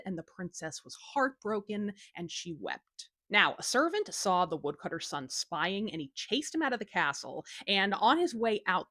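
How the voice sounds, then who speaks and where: A woman's voice: medium pitch, a bit bright, dry recording, studio quality. A woman retelling a folktale as a storyteller, in a casual, cheeky and informal way from a script. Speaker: storyteller, host.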